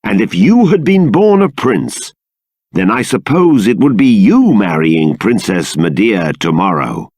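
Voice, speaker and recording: Slow, stately, expressive king. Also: lowish voice, dry recording, studio quality. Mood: grave, reflective. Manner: regal, formal, measured, deliberate. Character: dignified, stern but fair middle-aged fantasy king. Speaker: video game voice actor. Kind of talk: theatrical scripted game dialogue.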